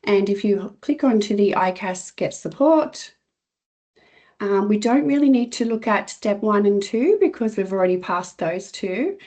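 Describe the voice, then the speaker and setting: An Australian woman with a slightly croaky voice, the kind a cough leaves behind. She talks through a website step by step in a steady, instructional webinar manner, with a scattering of "um"s along the way. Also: mid-range voice, a little slow. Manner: steady instructional, many fillers. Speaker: assessment consultant.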